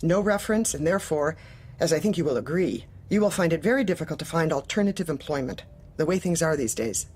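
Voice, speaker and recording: Manipulative female boss: low-voiced, officious, falsely polite, dark-comedy audio drama. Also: lively intonation, fast talker, slightly rough. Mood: coolly threatening. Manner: clipped, officious, controlled.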